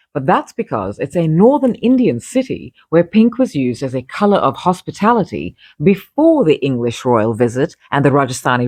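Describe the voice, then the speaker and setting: A woman's voice: a bass voice, a little mellow, breathy edge, dry recording. A woman reading her own magazine article aloud, emphatic and opinionated, breaking off for chatty asides.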